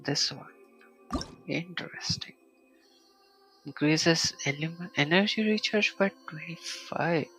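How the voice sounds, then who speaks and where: A man casually commentating a solo game live stream, muttering to himself and reading item text aloud in a halting way. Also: fairly high pitch, bright, forceful tone, faint music behind, poor audio.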